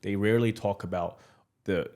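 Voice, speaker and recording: A man in his thirties from Morocco, speaking as a podcast guest in a low voice. He talks candidly and bluntly, restarting his sentences and leaning on fillers as he goes. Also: steady tone, normal speed, warm tone. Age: 30s. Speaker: guest entrepreneur. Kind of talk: casual podcast interview.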